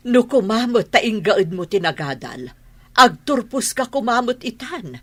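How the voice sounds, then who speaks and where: Fed-up Ilocano mother for radio serial: husky, animated, scolding. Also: a little high, a little slow. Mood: nagging. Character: exasperated, scolding mother worried about money. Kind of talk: radio drama acting.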